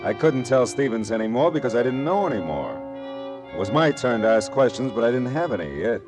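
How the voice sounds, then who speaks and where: An actor playing a wry, laconic, world-weary private detective in a radio drama, narrating and trading dialogue. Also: male voice, mid-range voice, very animated, a little fast, over music, natural voice. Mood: hardboiled narrating.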